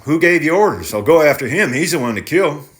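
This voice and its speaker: A man's voice: on the high side, animated, a bit bright, pitched above the performer's own voice. Stubborn tenant farmer, pondering, plain rural talk; male narrator voicing him. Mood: defiant. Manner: slow, pondering, plain-spoken.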